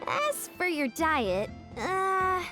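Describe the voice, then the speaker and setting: An energetic sports coach gives pep talks and instructions in a high, lively voice. She sounds encouraging and a little bossy, with faint game music behind her. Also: very slow, heavily acted. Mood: upbeat.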